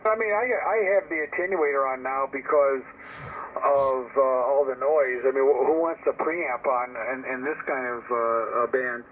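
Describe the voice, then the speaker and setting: Over a thin, narrowband radio link with clear noise, a man chats casually about technical matters. He sounds hesitant and questioning, like a hobby operator working things out. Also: mid-range voice, normal speed. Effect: radio transmission. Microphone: heard over a radio link.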